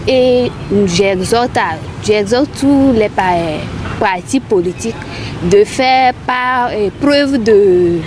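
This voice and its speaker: A woman's voice: medium pitch, slow. A woman with a Togolese accent giving her opinion as a citizen, hesitant and repeating herself. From Togo. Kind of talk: spoken street statement. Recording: clear outdoor street and traffic noise.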